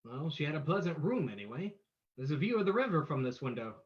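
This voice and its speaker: A woman playing a composed, courteous gentleman sleuth in an old-time radio drama reading. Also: sits low, big pitch swings, fast talker. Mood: composed, probing. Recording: muffled, lo-fi sound.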